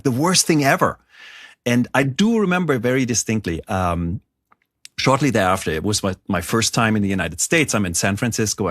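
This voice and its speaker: A middle-aged man from Germany with a German accent, telling stories as an interview guest in a lively, rambling way. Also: fairly low pitch, breathy edge, no echo, clean studio sound. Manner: animated storyteller, frequent "like" and restarts. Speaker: guest author. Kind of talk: conversational interview.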